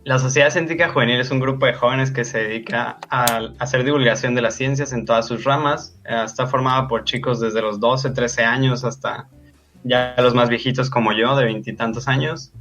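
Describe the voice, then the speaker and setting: A man's voice: mid-range voice, steady tone, moderate pace, a bit bright, faint music behind. A twenty-six-year-old man, a podcast guest explaining things casually with fillers. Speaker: guest, national society president. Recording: muffled lo-fi mic.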